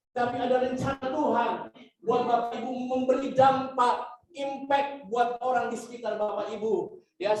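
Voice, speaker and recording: Male preacher, high voice, exhortative and repetitive, charismatic church sermon, roomy echo. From Indonesia. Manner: exhortative, repeats phrases and calls for amens. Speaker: guest preacher, pastor. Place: church service room, before a congregation. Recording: echoey room, distant microphone.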